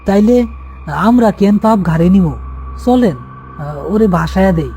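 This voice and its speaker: A man's voice: sits high, expressive, a little slow, mellow, faint music behind. A man voicing a frightened, superstitious young village helper in an anxious, pleading way with a rural Bangladeshi accent, in a horror story. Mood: fearful pleading. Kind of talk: audio horror story acting.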